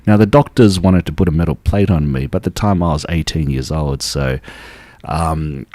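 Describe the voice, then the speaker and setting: A young man, born in Taiwan, working as an acupuncturist and telling his story in a relaxed, casual interview full of restarts. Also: a bass voice, normal speed, dry recording. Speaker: acupuncturist guest.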